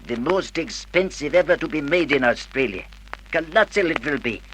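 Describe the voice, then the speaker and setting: Worked up movie producer, highish sharp voice, complains dramatically, old radio play. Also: male voice, expressive, normal speed, low-quality recording. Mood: grand. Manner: melodramatic, complaining, emphatic. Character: excitable, fretful male film producer with foreign turns of phrase. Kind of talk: 1950s radio drama acting. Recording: old radio recording, faint noise.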